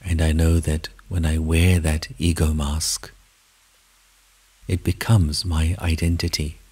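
A man leading a guided meditation, speaking in measured short phrases with long pauses, soft and at times almost whispering. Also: rumbling low, level tone, husky.

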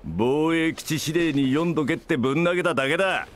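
An actor playing a gruff, blustering middle-aged father who runs a company, barking and rough-spoken in a video game cutscene. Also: on the high side, fairly quick, a little hiss or hum, close to an everyday voice. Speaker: game character.

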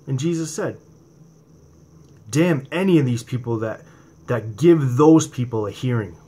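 A man in his forties with a New England accent, preaching informally to his viewers from home and talking into a webcam, swinging from impassioned, rising emphasis to a quieter conversational tone. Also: medium pitch, unhurried, husky. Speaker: religious video host. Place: home room indoors at night. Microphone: webcam or laptop camera from below.

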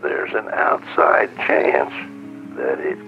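A man's voice: slow pace, natural voice. An actor playing a sly, teasing, ominous man being interviewed in a fiction podcast. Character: sly, drawling male interviewee hinting at a deadly curse. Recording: dull radio-like recording, faint music.

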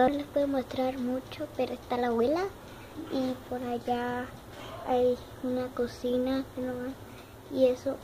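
Child vlogger, Argentine accent, chatty and repetitive, slightly high voice, noisy handheld phone audio. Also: female voice. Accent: Argentine Spanish. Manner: chatty, repeats words, childlike diminutives. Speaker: young vlogger showing her dollhouse. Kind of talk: casual show-and-tell narration. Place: home room with wooden floor, indoors. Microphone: phone camera held in hand.

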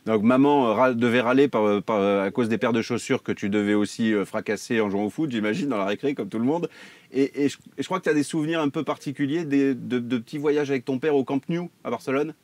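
A man in his forties hosts from a television studio, presenting with upbeat energy. His voice is close and dry on a lapel mic, with a middle pitch for a man. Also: quick pace, darkish tone, no echo. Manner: upbeat introduction, reading a list. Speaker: host.

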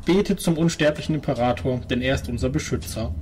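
A man's voice: medium pitch, quick pace, darkish tone, a little hiss or hum, close to an everyday voice. A man playing a devout preacher in a tabletop game, reciting a solemn, repetitive litany. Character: devout preacher chanting prayers to a god-emperor. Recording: music playing behind the voice.

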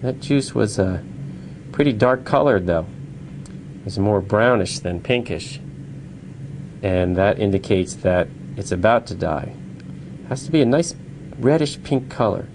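A man with a fairly low voice talks through his research. His pace is unhurried and his explanations are patient, broken by small filler pauses. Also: expressive, a little mellow, a little hiss or hum, low-quality recording.

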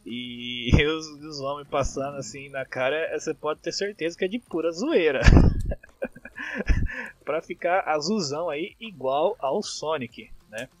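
A man's voice: fairly high pitch, unhurried. A man with a Brazilian Portuguese accent giving casual, slangy, unscripted commentary as a YouTuber. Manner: chatty, slangy, frequently tagging phrases with "né". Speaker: YouTuber commentating over a partner's video. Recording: clear background noise with clicks.

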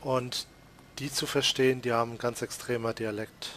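A man's voice: medium pitch, steady tone, normal speed, fairly bright tone, slight background noise. A man with a Palatinate accent chatting casually while playing a farming game, laughing often and teasing himself. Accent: Palatinate German. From Palatinate, Germany. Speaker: let's play host. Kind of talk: casual gaming chat.

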